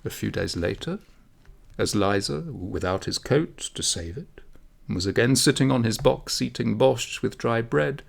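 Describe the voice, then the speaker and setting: A man reading a comic folk tale aloud as a storyteller, in a measured, expressive way. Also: medium pitch, creaky. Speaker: audiobook reader, storyteller.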